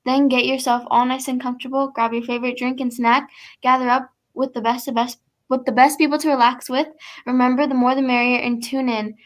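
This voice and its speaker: A woman's voice: high-pitched, level tone, fairly quick. A woman hosting an old-time style radio show, reading scripted announcements in a polite, formal, presentational way.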